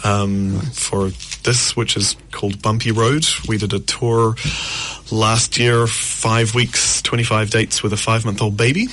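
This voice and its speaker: A man's voice: lowish voice, a bit bright. A man in his thirties, a guest musician on a radio show, telling rambling stories and laughing often. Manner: rambling storyteller, laughs often, quotes others. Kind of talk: casual radio interview.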